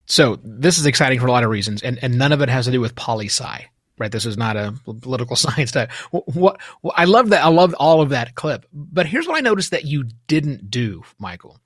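A man hosts a podcast interview, casual and emphatic. His pitch swings freely and the sound is close and dry. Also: sing-song, fairly quick, a bit bright, breathy edge, no echo. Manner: emphatic, conversational.

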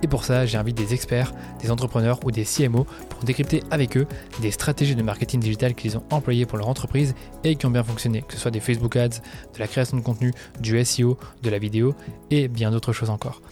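A man's voice: mid-range voice, fairly even intonation, fast, music in the background. A man hosting a marketing podcast, reading a polished introduction and then chatting casually with his guest. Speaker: podcast host.

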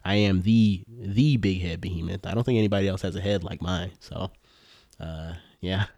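A man's voice: deep voice, moderate pace, a little mellow, dry recording, clean studio sound. A man co-hosting a casual podcast, joking and rambling with lots of fillers. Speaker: podcast co-host.

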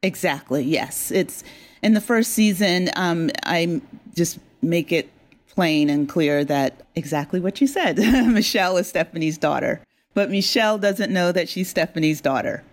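Lady writer being interviewed, lowish voice, chatty, explains stuff w lots of little asides. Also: expressive, a little slow. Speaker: audio drama writer and creator, guest. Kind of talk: conversational interview, explaining her story.

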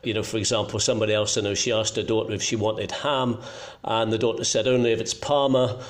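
A man's voice: lowish voice, flat delivery, quick pace. A middle-aged man from Scotland, telling anecdotes conversationally as a guest, in a self-deprecating way. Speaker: journalist guest.

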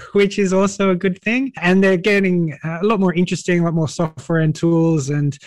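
An Australian man talks as a podcast guest in an easygoing, upbeat way. His voice sits a little high for a man. The recording is dry, with no room sound. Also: steady tone, normal speed, no echo. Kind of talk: casual interview talk. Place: home at night, video call.

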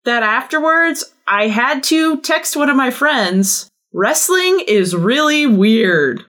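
A woman's voice: sits high, expressive, takes it slowly, fairly bright tone. A woman chatting casually as a podcast guest, with a wry, informal way of talking. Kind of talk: casual chat.

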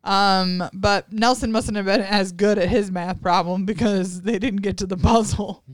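A woman's voice: medium pitch, fairly even intonation, moderate pace, no echo, clean studio sound. A young adult woman hosting a podcast, recapping a reality show in a chatty, giggly way with lots of fillers. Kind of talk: casual chat.

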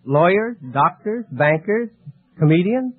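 A tinny vintage radio recording of an American male contestant. His voice is high and somewhat raspy, and he feels his way haltingly through his answers. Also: lively intonation. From California. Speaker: quiz show contestant. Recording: tinny old radio broadcast.

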